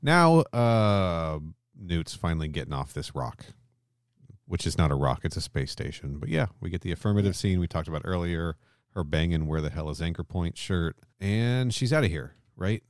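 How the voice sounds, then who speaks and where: A man with an extremely low voice hosts a casual podcast. He recaps a story in loose, slangy speech, often seeking agreement. The sound is close and dry. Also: lively intonation, moderate pace, dry recording. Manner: colloquial, mildly sweary, often seeking agreement. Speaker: podcast host.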